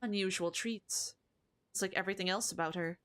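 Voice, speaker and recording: A young woman vtuber playing a horror story game, reading its text aloud and reacting in a chatty, expressive way. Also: mid-range voice, brisk. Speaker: vtuber streamer. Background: faint game music.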